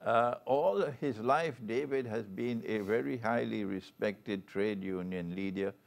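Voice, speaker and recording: An older man from Trinidad and Tobago with a Trinidadian accent and a fairly low voice. He speaks as a talk show host addressing a guest and viewers. His delivery is formal and deliberate, with measured phrasing. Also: creaky. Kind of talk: formal guest introduction and interview.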